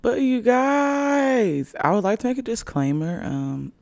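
A young woman hosting her own podcast, chatting casually and playfully in a raspy, hoarse voice after a long night. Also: mid-range voice, expressive, takes it slowly, darkish tone, no room sound. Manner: chatty, playful, hyping herself up. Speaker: podcast host. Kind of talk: casual solo podcast chat. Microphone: podcast microphone.

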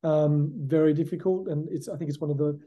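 Bright Australian man, reflective interview guest. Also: a little high, steady tone, a little fast. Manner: reflective, frequent "you know" and "look". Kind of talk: conversational interview answers.

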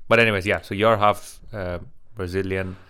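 A man hosts a loose, joking chat. His voice sits a bit low but has a bright, forward edge. He talks informally and likes to tease. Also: fairly even intonation, normal speed, clean tone. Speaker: podcast host.

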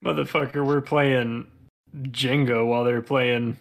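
A man's voice: medium pitch, fairly even intonation, unhurried, creaky. A young man co-hosting a casual podcast, teasing his friends with crude jokes.

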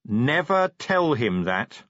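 A man's voice: medium pitch, very animated, slow, a bit bright, no echo, lo-fi sound, pitched above the performer's own voice, exaggerated voice. A man voicing a commanding female werewolf police sergeant in an audiobook, curt, bossy and exasperated. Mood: snappish. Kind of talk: audiobook character voice.

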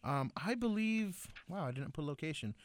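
A man's voice: on the high side, expressive, normal speed, dry recording. A man co-hosting a casual food chat, talking in a laid-back, slangy way. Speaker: podcast co-host.

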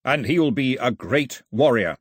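A man reading aloud and voicing a proud, forceful islander father who speaks firmly and emphatically. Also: medium pitch, lively intonation, moderate pace, slightly rough, dry recording, pitched above the performer's own voice. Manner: firm, emphatic.

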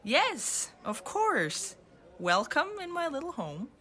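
Woman acting an excitable hostess in an audio drama, really high voice, super swoopy. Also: slow, exaggerated voice. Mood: welcoming. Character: Tia, excitable, hospitable woman friend at home.

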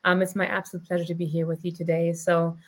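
A woman's voice: on the low side, little pitch movement, fast. A woman from Germany giving an upbeat self-introduction on a video call, with frequent ums. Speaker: community co-founder.

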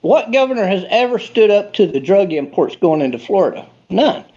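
A man's voice: sits high, very animated, fairly quick, mellow, dull audio. A man on a remote call ranting comically about politics, rambling and stumbling over his words. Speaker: guest. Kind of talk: comedic political rant.

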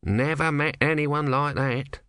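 A man's voice: on the high side, fairly even intonation, a little slow, a bit bright, smooth, dry recording, studio quality, pitched above the performer's own voice. A man voicing a grumpy small god trapped as a tortoise, evasive and sardonic, in a storybook reading.

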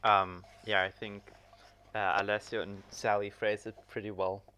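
Young german guy, low voice but bright and crisp, relaxed podcast chat. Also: moderate pace. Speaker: podcast guest.

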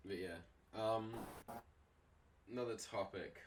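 A man's voice: lowish voice, slow pace, slight background noise. A young man in a laid-back podcast chat, steering the topics. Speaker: podcast co-host. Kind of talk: casual chat.

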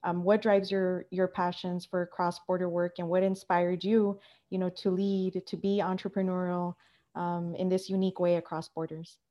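A woman with a very mellow, slightly low voice moderates a panel. She speaks informally and conversationally as she hands questions to the speakers. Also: little pitch movement, moderate pace, lo-fi sound.

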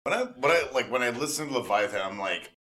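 A man chatting casually and excitedly as an interviewer and fan, gushing and repeating himself for emphasis. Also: mid-range voice, fast, slight background noise.

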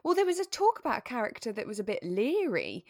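A woman co-hosting a podcast, talking in a relaxed, chatty, giggly way. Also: fairly high pitch, fast, dry recording, clean studio sound. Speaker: podcast co-host. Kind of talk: relaxed conversational podcast chat.

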